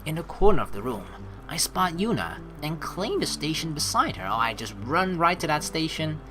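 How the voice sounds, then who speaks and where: A woman's voice: deep voice, sing-song, moderate pace, fairly bright tone. A young woman reading a game aloud as a streamer, adding chatty, casual asides as she goes. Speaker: let's play streamer. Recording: faint game music behind.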